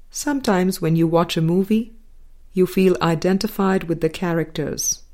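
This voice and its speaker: Woman, audiobook reader, very slow pace, soft dark tone, deliberate pauses, scripted spiritual reading. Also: lowish voice. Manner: measured, deliberate phrasing with pauses.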